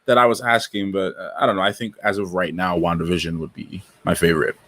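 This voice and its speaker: A man from Guyana talking casually as a panelist in a live discussion, animated and quick to laugh. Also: deep voice.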